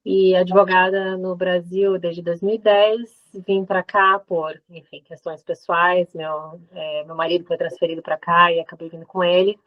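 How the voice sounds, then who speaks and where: A woman from Brazil, a lawyer introducing herself in a webinar, brisk and self-correcting. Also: on the low side, level tone. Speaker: lawyer guest. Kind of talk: webinar presentation. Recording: dull lo-fi webinar audio.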